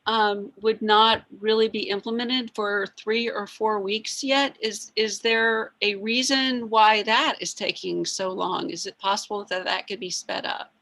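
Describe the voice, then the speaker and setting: A woman's voice: mid-range voice, steady tone, unhurried, hardly any pauses, bright, forceful tone. A woman school board member politely asking questions, a little hesitant.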